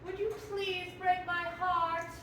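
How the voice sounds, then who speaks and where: A woman's voice: very high voice, level tone, slow pace, sharp tone, full character voice. Woman as young girl, theatrical, catty, heightened verse delivery, echoey room, background noise. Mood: swooning. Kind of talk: staged reading of a verse play. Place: theater room, filmed staged reading. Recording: roomy echo, distant mic, clear noise.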